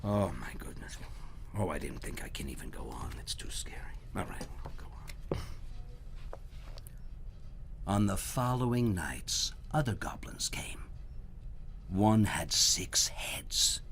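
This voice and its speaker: A man reading a children's story aloud in a dramatic, sometimes hushed storytelling manner. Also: fairly low pitch, breathy edge.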